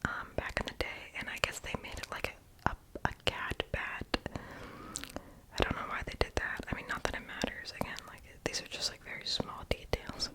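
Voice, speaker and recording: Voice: normal speed, poor audio. Whispering young adult doing ASMR voiceover. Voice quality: unvoiced breathy whisper. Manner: rambling, casual, self-deprecating; mouth sounds, lip smacking. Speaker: ASMR video creator.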